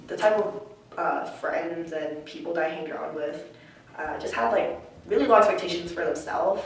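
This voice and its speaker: A woman's voice: fairly low pitch, normal speed, gravelly, a little hiss or hum. A young adult woman, a college student whose first language is Chinese, answering interview questions in a reflective, casual way with many "like" fillers. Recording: echoey room, mic far off.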